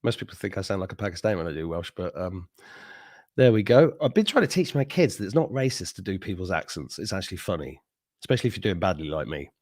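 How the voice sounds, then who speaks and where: A man's voice: sits low, sing-song, fast talker, darkish tone. Middle-aged guy on a live stream, jokey and chatty, laughs a lot, wanders off on tangents. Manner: chatty, jokey, laughs often, rambling asides. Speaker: host. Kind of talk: casual live-stream chat, greeting viewers.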